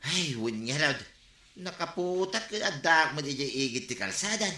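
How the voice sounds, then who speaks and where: A man's voice: fairly high pitch, animated, moderate pace, bright, forceful tone, roomy sound. A man playing a tipsy, stammering old neighbor. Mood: drunk rambling. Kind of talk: comedic radio drama acting.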